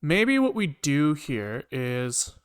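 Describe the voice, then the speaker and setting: Clear-voiced man muttering through live coding. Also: on the high side, very animated, takes it slowly. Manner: rambling, muttering to himself, repeats words; casually profane. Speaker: programmer livestreaming coding. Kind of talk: casual think-aloud coding commentary.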